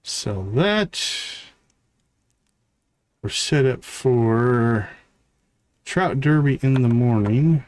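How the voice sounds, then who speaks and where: A man's voice: mid-range voice, animated, very slow, pauses often, a little mellow. A man giving casual live gameplay commentary as a streamer, muttering short, easygoing remarks to himself. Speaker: game streamer.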